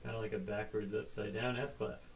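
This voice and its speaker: A man's voice: lowish voice, steady tone, a little fast. A man taking a piano lesson as a student, answering casually in short replies. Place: indoors at a piano. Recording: roomy, far from mic, loud background.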